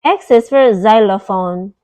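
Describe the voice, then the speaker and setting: A woman reading a children's picture book aloud in a deliberate, teacherly way, explaining words as she goes. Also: mid-range voice, a little mellow.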